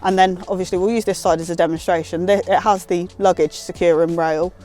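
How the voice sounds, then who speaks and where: A woman's voice: lowish voice, fairly even intonation, fairly quick, dark tone, faint music behind. A British woman giving a casual, hands-on tutorial in an informal, self-deprecating way. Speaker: presenter of a car installation guide.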